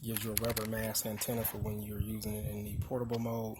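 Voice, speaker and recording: A man's voice: on the low side, monotone, fast talker, over loud music. A man casually walking through motorcycle gear in an informal, unscripted way, as in a vlog. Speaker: motorcycle vlogger showing his gear. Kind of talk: casual product walkthrough. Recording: handheld video with clear background noise.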